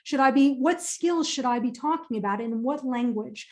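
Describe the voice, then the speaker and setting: A woman's voice: high-pitched, moderate pace. A woman presenting a career webinar and answering audience questions in an upbeat, emphatic way, often repeating phrases for stress.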